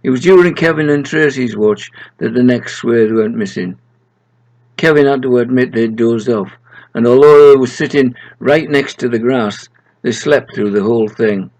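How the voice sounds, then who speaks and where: A man's voice: mid-range voice, moderate pace, darkish tone, poor audio. An older man in his seventies reading a story aloud in a storytelling style. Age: 70s. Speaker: author reading his own short stories aloud. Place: small room at home, indoors.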